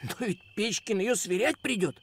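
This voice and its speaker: A man voicing a practical, grumbling talking cat in a cartoon, expressive and full of exclamations. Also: high voice, moderate pace, a little hiss or hum, lightly acted. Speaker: voice actor. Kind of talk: animated cartoon acting.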